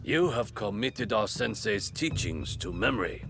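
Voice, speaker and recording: An actor playing a proud, remorseful samurai duelist in a video game, speaking formally and with measured weight. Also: male voice, lowish voice, very animated, music in the background. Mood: stern, testing. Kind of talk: dramatic video game acting.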